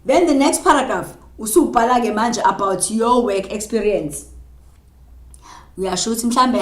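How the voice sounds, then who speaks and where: South African teacher in echoey room. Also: female voice, medium pitch, darkish tone. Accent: South African, Zulu. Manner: mixes Zulu and English, dictates slowly while writing. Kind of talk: classroom lesson on job applications.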